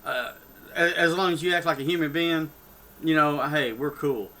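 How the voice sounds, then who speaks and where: A man's voice: fairly high pitch, moderate pace, sharp tone, low-quality recording. An older man with a Texan accent, ranting off the cuff on his own channel, rambling and heated, with many restarts and asides. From Texas. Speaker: political vlogger. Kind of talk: off-the-cuff rant.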